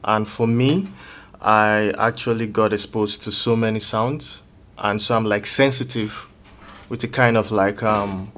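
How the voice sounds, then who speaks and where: A man's voice: on the low side, fairly even intonation, measured pace, fairly bright tone, slight background noise. A man talking casually as a music producer being interviewed, hesitant and feeling for his words as he explains. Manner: hesitant, searching for words, roundabout phrasing.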